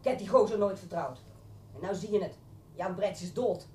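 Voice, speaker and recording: A woman playing a small, bossy, fiercely protective crook's girlfriend in a radio drama, blunt and full of rough street talk. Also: mid-range voice, normal speed, dark tone, far from the mic, lightly acted. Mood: fierce, scolding. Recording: echoey room off mic, faint noise.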